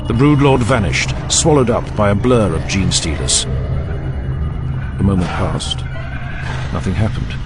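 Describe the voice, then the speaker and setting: A man's voice: deep voice, slow pace, long pauses, over loud music. A man narrating a scripted audio drama in a theatrical, emphatic, intense manner.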